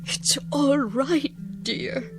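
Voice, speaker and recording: An actress playing a gentle, forgiving elderly wife with a soft, breathy, soothing manner in a vintage television drama. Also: female voice, high-pitched, extremely slow, heavily acted. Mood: tender. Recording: old TV soundtrack with music underneath.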